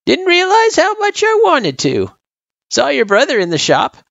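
A narrator voicing a teasing old male turtle monster with a gravelly, wheezy voice and folksy old-timer talk, in an audio story. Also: high-pitched, huge pitch swings, normal speed, fairly bright tone, no echo, dull audio, voice pushed up, full character voice. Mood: reflective.

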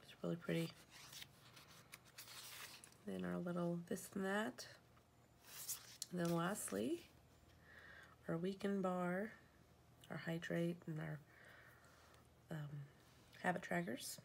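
Sniffly woman with a gravelly low voice. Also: steady tone, slow pace, pauses often, slight background noise. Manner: chatty, rambling with fillers and self-corrections. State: sniffly from allergies. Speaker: planner vlogger. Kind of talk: casual show-and-tell narration. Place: at home at a table.

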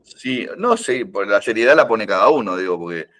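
A man's voice: fairly low pitch, animated, moderate pace, a bit bright, slight background noise. An older man with a Rioplatense Spanish accent, a karate teacher who rambles with many fillers and restarts, and teases. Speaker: karate teacher, podcast guest. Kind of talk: casual podcast conversation.

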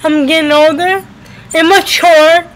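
A woman's voice: extremely high pitch, steady tone, measured pace, poor audio. A woman in her twenties from the United States, chatting casually to camera as a vlogger and repeating her phrases for emphasis. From United States, New Jersey and Florida. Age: 20s. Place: indoors at home by a window. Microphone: webcam at arm's length.

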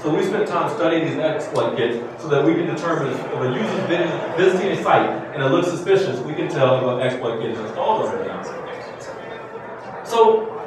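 A man with a fairly high voice delivers a keynote presentation to an audience. He punctuates his points with rhetorical questions and short checking tags. The room echoes heavily around him, and he sounds distant from the microphone. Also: lively intonation, a little fast. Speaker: keynote speaker, security researcher. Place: indoor conference room with seated audience. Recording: very echoey room, distant mic, clearly noisy.

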